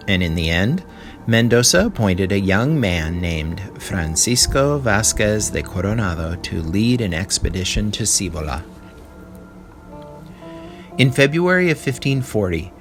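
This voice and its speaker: A man reading a history text aloud as a teacher, in a deliberate storytelling delivery with short explanations. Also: fairly low pitch.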